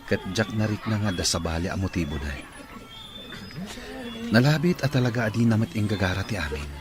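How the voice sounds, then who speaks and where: A man's voice: on the low side, lively intonation, a little fast, lightly acted. A man playing a devoted, reassuring boyfriend in an audio romance drama. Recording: music and outdoor crowd bustle behind.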